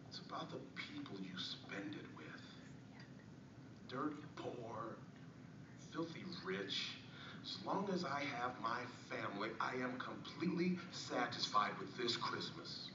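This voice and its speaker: A man playing a poor, humble Victorian father and clerk, reading his diary aloud in an earnest, theatrical way with deliberate pauses. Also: medium pitch, expressive. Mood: warm, wistful. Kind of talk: dramatic diary-style reading of an essay. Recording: echoey, noisy, muffled recording from far off.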